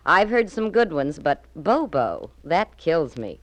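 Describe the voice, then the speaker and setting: A sassy, knowing American cigar-counter woman from a 1940s radio drama. Her voice sits low and swoops up and down as she teases and gossips wryly. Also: very animated, takes it slowly, no echo, muffled recording, exaggerated voice. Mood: amused. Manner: wry, teasing.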